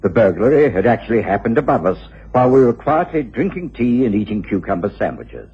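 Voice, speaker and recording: A genteel British man tells a mystery as the detective's observant companion. His voice is very husky and rises and falls expressively. The recording is old and muffled. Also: lowish voice, big pitch swings, brisk, full character voice. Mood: wry, measured. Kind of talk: scripted first-person story narration in radio drama.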